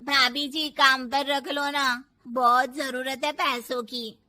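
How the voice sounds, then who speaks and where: A pleading, gossipy housemaid from an animated moral story, voiced by a woman. She talks insistently in a high, very sharp and forceful voice. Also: slow pace, exaggerated voice. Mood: pleading, complaining.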